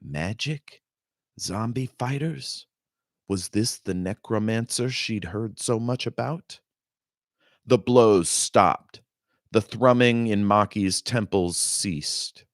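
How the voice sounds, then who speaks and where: A man with a very low voice narrates fiction like an audiobook. He reads at an unhurried pace with measured, dramatic phrasing, and the sound is dry with hardly any room in it. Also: no room sound. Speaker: author reading own novel.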